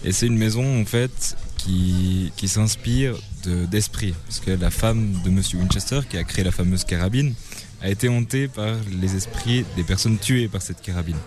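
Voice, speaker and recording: Male musician, lowish voice, relaxed explanatory interview answers. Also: normal speed, music in the background. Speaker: rock band member.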